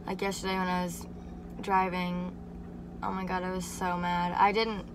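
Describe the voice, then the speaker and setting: A young woman vlogger with a slightly raspy, deep-for-her voice, rambling casually with lots of fillers, filmed on a phone in her car and later in her room. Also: completely flat delivery, normal speed. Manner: chatty, rambling, lots of "like" and "freaking". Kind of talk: casual vlog chat. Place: inside a car, then a bedroom. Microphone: phone camera on dashboard, then handheld. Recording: noisy car recording.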